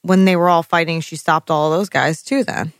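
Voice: medium pitch, steady tone, normal speed, clean tone, no room sound, studio quality. A co-host in a casual TV episode recap, rambling and trailing off while searching for names. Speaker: podcast co-host.

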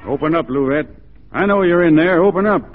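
An actor playing an easygoing, plain-spoken Texan cowboy in a radio drama, with a folksy, unhurried manner. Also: male voice, a little high, phone-quality sound, music in the background, natural voice. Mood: steady, frank. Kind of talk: 1940s radio drama acting. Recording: thin band-limited 1940s radio recording.

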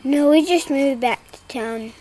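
Earnest little boy, high voice. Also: unhurried, mellow. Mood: matter-of-fact, shy. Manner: plain-spoken, questioning, earnest. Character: inquisitive, earnest young grandson, a small boy. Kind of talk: audio drama acting.

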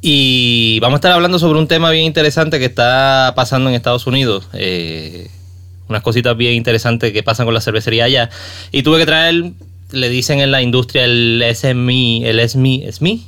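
Male podcast host, Puerto Rican accent, mid-range smooth voice, informal interview chat. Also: medium pitch, fairly quick, bright, forceful tone. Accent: Puerto Rican Spanish. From Puerto Rico. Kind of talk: casual conversational interview.

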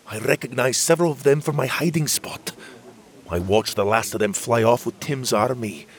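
A man's voice: mid-range voice, moderate pace, voice pushed up, natural voice. A man voicing a grumpy, chubby male butterfairy lord who scolds in old-fashioned words. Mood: grave. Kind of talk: audiobook character voice.

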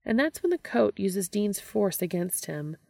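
A woman's voice: medium pitch, big pitch swings, unhurried, creaky, warm tone, no room sound. A woman narrating a scripted piece of fiction as a story reader.

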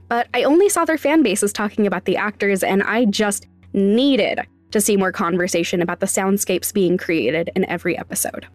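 A woman's voice: medium pitch, dry recording. Dry-recorded young woman podcasting over music. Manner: candid, informal, wryly self-deprecating. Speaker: podcast host and sound designer. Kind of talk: conversational scripted podcast narration.

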